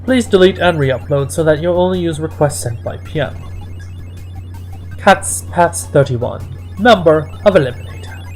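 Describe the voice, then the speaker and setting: A mid-pitched reader delivers a mocking, dramatic read-aloud. The delivery slips into exasperated commentary, with music playing behind the voice. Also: moderate pace, a little mellow. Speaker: host reading fan fiction aloud.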